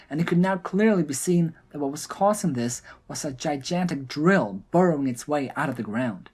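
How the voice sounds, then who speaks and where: A man narrating a scripted fantasy audio drama in a deliberate, dramatic storytelling manner. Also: fairly high pitch, breathy edge. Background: background music.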